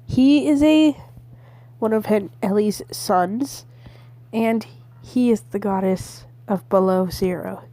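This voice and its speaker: A woman's voice: medium pitch, dark tone, dry recording, close to an everyday voice. A woman playing a stammering, frightened weather service spokesperson in an amateur emergency broadcast roleplay. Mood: solemn, official. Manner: stammering, halting. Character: shivering, terrified National Weather Service spokesperson, pleading.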